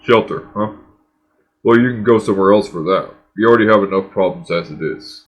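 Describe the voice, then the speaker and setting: Man playing a low-voiced, guarded wasteland governor. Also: brisk, natural voice. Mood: firm, bargaining. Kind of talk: scripted game cutscene dialogue.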